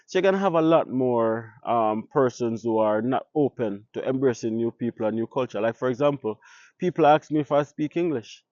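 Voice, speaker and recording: A Jamaican man talks to his viewers in an easy, conversational way, tossing them one rhetorical question after another. His voice sits at an ordinary middle pitch. The recording is dry and a little dull. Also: fairly quick, a little mellow, no echo. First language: Jamaican Patois. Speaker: vlogger. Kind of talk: casual talk to camera.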